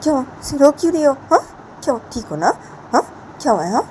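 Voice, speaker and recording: A woman's voice: high-pitched, sing-song, fast talker, a little mellow, slight background noise, pitched above the performer's own voice, low-quality recording. A woman voicing a tearful mother coaxing her daughter. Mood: soothing, anxious. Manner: coaxing, repeats soothing questions.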